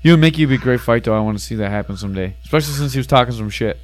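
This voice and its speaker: A man's voice: mid-range voice, racing, slight background noise. A middle-aged man hosting a casual podcast, ribbing and swearing as he chats. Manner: teasing, crude, sweary. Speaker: podcast host. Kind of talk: casual chat, ribbing banter.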